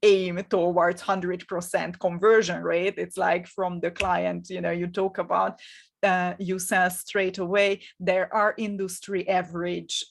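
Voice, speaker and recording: A middle-aged woman with a Hungarian accent, coaching in an energetic, encouraging webinar talk, often repeating words and pausing with fillers. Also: fairly low pitch, vocal fry. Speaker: marketing coach.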